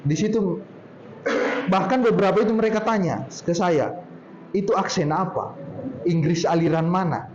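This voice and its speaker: A man from Poso in Indonesia with a regional Poso accent, speaking casually to a group in a relaxed storytelling way and drawing out his words. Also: sits high, a little hiss or hum. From Poso, Central Sulawesi, Indonesia. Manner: chatty, drawn-out storytelling tone. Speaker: speaker at a talk. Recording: roomy, dull lo-fi sound with faint ambience.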